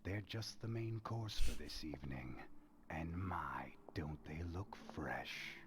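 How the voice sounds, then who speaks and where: A man voicing a lovesick, possessive aristocratic vampire in a video game cutscene, with a theatrical, drawn-out delivery. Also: rumbling low, noisy background. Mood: quiet menace.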